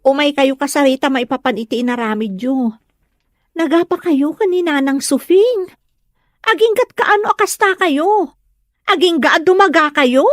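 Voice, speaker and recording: A woman playing an earnest, reasonable grown daughter who gently reproves and pleads for peace in an audio drama. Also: high voice, moderate pace, no room sound, clean studio sound, close to an everyday voice. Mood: pleading, reproving. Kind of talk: Ilocano radio-style drama acting.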